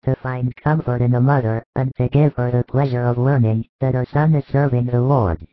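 A man voicing a calm, kind holy teacher with authority, solemn and reassuring, in a dramatized religious story. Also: medium pitch, dry recording, close to an everyday voice. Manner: solemn, reassuring, measured phrasing. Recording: dull lo-fi recording.

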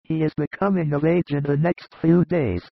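A man doing a gravelly, fatherly disciple. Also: fairly high pitch, fairly even intonation, normal speed, no echo, phone-quality sound, voice pushed up. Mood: earnest. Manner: earnest, plain-spoken, fatherly. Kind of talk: audiobook reading of a religious narrative.

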